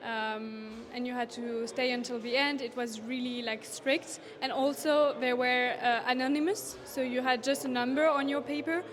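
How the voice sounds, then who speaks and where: A woman's voice: a little high, steady tone, normal speed, hardly any pauses, faint music behind. A woman in her twenties with a French accent, giving informal interview answers as a student. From France. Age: 20s.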